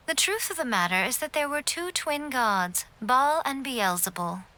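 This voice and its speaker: A woman voicing a knowing, courteous divine fox familiar in an anime video game, speaking in a measured, formal, gently wry manner. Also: high voice, expressive, slight background noise. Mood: solemn, reflective.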